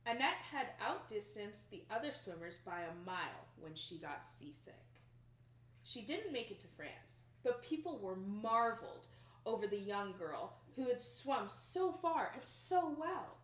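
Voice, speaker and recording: A young adult woman reading a picture book aloud to children in an expressive, emphatic storytelling manner. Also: medium pitch, a little slow. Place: small plain indoor room. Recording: roomy indoor echo.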